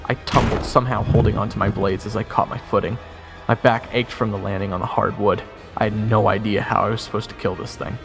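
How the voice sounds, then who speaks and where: A man's voice: lowish voice, level tone, a little fast, gravelly. Male dramatic storyteller with long reverb. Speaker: narrator and hero of an audio roleplay. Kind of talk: first-person dramatic scripted narration. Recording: long reverb, music under the voice.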